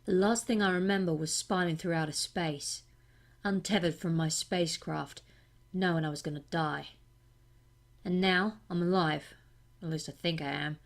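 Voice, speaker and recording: A woman playing a bewildered, revived astronaut in a sci-fi monologue, wondering and pausing often. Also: fairly low pitch, fairly even intonation, creaky, pitched below the performer's own voice.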